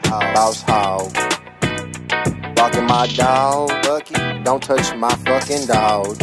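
A man's voice: mid-range voice, steady tone, takes it slowly, loud music behind the voice. A man freestyle rapping in a laid-back, rambling way. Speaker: rapper on a played track. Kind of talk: freestyle rap over a beat. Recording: track with loud hip hop beat.

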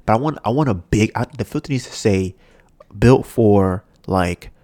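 Podcast host, young man, congested and nasal, lowish voice, animated casual rant. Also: moderate pace, no room sound. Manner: animated, mocks others in high put-on voices, swears.

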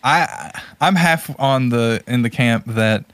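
A man's voice: mid-range voice, slow, a bit bright, no echo. A man chatting casually as a podcast co-host, informal and opinionated. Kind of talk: casual podcast chat about movies.

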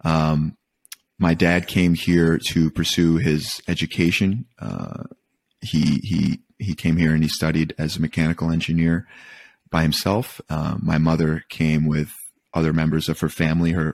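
Very low-voiced, thoughtful man in conversation. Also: little pitch movement, creaky, a little mellow. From Michigan, United States. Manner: thoughtful, halting, rephrases as he goes. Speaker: podcast guest. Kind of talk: casual reflective interview talk.

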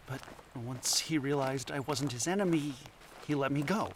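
A man's voice: mid-range voice, normal speed, noisy background, close to an everyday voice. An actor playing a scatterbrained, anxious male anthropologist in an audio drama, stammering and hesitant. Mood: shaken.

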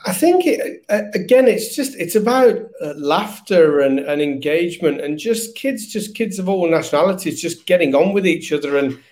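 A man's voice: fairly high pitch, lively intonation, moderate pace, hardly any pauses, a little mellow. A middle-aged man speaking casually as a school principal being interviewed. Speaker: guest, school executive principal.

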